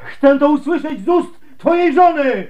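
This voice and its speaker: A man's voice: very high voice, expressive, a little slow, a little mellow, muffled recording. An actor playing a devoted, anxious husband and father in a radio drama, earnest and emotional. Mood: desperate, angry. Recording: noisy radio play recording.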